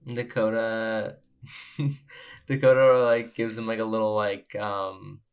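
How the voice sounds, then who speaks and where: Tabletop player, chatty, filler-heavy, mid-range pitch. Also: level tone, slow pace, smooth. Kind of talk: casual tabletop roleplay.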